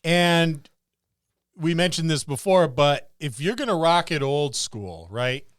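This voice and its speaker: Clear-voiced man bantering on a podcast. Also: a little high, expressive, slow pace, no echo, clean studio sound. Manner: jokey, wry grumbling. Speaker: podcast co-host. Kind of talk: casual banter among co-hosts.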